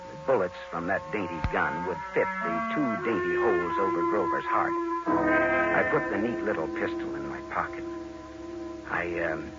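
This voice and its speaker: Hard-boiled private eye, deep, deadpan, sardonic, muffled vintage radio noir with prominent music. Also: male voice. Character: wry, tough male private detective narrating. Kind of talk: 1940s radio noir narration and acting.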